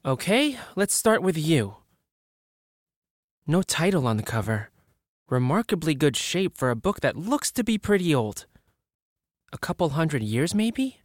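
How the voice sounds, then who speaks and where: A man acts out a curious scholar in a fantasy audio drama, talking to himself at an unhurried pace. His reactions are expressive and dramatic, and the sound is dry and close. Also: on the high side, dry recording. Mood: excited disbelief. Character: curious, bookish male scholar muttering to himself.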